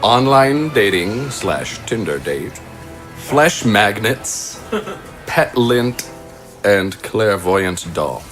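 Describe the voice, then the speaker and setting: A man's voice: on the low side, very animated, drawn-out words, a bit bright, faint music behind. A man chatting casually as a game panelist, listing his answers.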